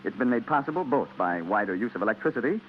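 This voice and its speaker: American male 1940s radio announcer, conversational sponsor pitch, very animated intonation, old noisy broadcast sound. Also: quick pace, phone-quality sound. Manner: conversational, rhetorical questions to listeners.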